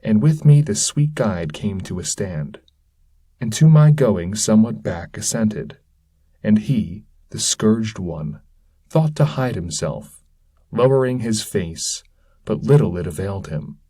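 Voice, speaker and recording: A man giving a solemn, measured, dramatic reading of epic poetry. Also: fairly low pitch, lively intonation, mellow, slightly rough. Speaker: audiobook-style reader.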